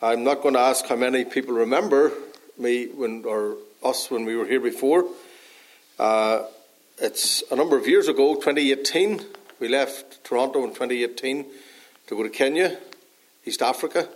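A man with a Northern Irish accent preaches a sermon at a middle pitch for a man, earnest and unhurried. He pauses often and fills the gaps with small hesitations. A dry cough leaves his voice slightly hoarse. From Northern Ireland. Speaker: pastor.

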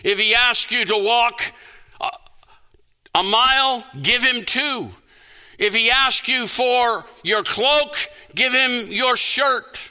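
High, forceful male preacher, slow and deliberate. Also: smooth. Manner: emphatic, deliberate delivery with repeated phrases. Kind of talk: sermon with scripture quotations and rhetorical questions.

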